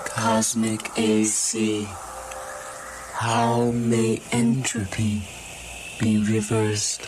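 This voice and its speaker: A man voicing the collective mind of far-future humanity, grave and searching, in slow solemn phrases with a layered effect. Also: lowish voice, completely flat delivery, noisy background, pitched above the performer's own voice. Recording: distant processed voice under loud music.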